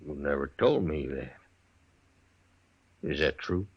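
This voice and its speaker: Deep-voiced, menacing mountain man. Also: expressive, breathy edge, lo-fi sound, heavily acted. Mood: subdued. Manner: slow rustic frontier drawl, blunt. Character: huge, proud, menacing mountain man husband. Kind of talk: old-time radio western acting.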